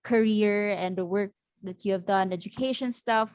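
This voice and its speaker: A woman's voice: mid-range voice, a little mellow, clear, resonant tone, dry recording. Woman admin with a dry sound. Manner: friendly, halting, filler-heavy. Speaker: community group admin. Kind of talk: casual welcome to a group call.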